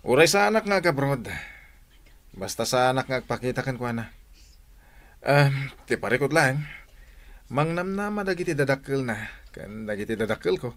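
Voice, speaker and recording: Troubled, teasing young friend, Filipino accent, medium pitch, conversational radio drama. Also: male voice, expressive, moderate pace, pauses often, fairly bright tone. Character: teasing, troubled young man confiding in buddy.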